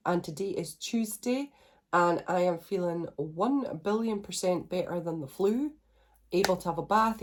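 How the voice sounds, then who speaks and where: A woman's voice: lowish voice, a little mellow. A woman in her thirties with a blocked nose, talking in a chatty, rambling video diary. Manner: chatty, rambling, self-reassuring. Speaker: vlogger.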